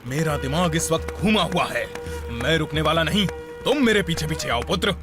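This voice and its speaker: A man's voice: on the high side, expressive, moderate pace, loud music behind the voice, lightly acted. A man voicing an imperious male god of death in a cartoon, with a grand, declamatory manner. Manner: grand, declamatory, addresses others as a lofty elder. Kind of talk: theatrical cartoon acting.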